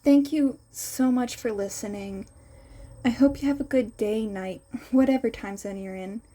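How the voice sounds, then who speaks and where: A woman's voice: a little high, a little slow, dark tone. A woman narrating a scripted story as a podfic reader.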